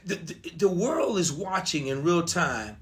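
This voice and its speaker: A man with a slightly high voice hosts a casual interview. He talks effusively and admiringly to his guest. He repeats short phrases for emphasis and drops "man" in often. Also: very animated, unhurried, fairly bright tone. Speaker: host interviewing a musician.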